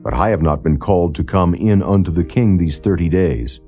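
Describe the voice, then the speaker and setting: A man narrating scripture as an audiobook in a solemn, measured, formal storytelling delivery. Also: low voice, animated, warm tone, faint music behind. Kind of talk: solemn scripture reading, non-dramatized.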